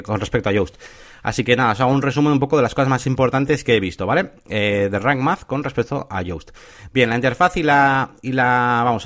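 Man, casual tech chat, lots of fillers, mid pitch. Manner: enthusiastic, listy, frequent "eh" and "¿vale?". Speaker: podcast co-host.

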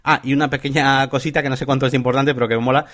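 A man talks through a list of points as a podcast co-host. He keeps checking in with his listeners and fills pauses with "eh". His voice sits at a middling pitch. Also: level tone, fairly bright tone. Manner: enthusiastic, listy, frequent "eh" and "¿vale?". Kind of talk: casual tech podcast chat.

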